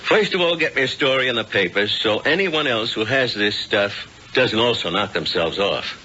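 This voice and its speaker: An actor playing a hard-nosed police lieutenant, blunt and businesslike, in 1970s radio drama style. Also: male voice, lowish voice, animated, normal speed, bright, forceful tone. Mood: stern, determined. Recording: dull noisy old radio recording.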